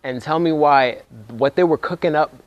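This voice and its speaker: A young man, a music producer, talking casually to the camera at a production workshop, with loose slangy speech that heats up when he gets excited. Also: on the high side, moderate pace, dry recording, muffled recording. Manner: laid-back slangy talk, gets fired up when excited. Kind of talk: casual vlog talk to camera.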